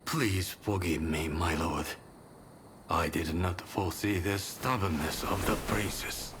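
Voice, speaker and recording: A man's voice: sits low, unhurried, background noise. An actor playing a humbled retainer in a game cutscene, formal and submissive toward his master. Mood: apologetic. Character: deferential, humbled male retainer to a lord. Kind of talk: dramatic video game cutscene acting. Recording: music behind the voice.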